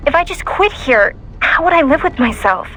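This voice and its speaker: An actress playing a young, loyal, idealistic mission support operator in a video game, hesitant yet heartfelt. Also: female voice, sits high, expressive, moderate pace, fairly bright tone, faint music behind. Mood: pained, determined.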